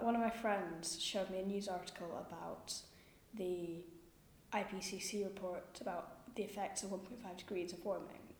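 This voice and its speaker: A woman's voice: mid-range voice, darkish tone, a little hiss or hum. A young woman recalling, earnestly and indignantly, how she became aware of climate change. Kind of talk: personal recollection. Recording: echoey room.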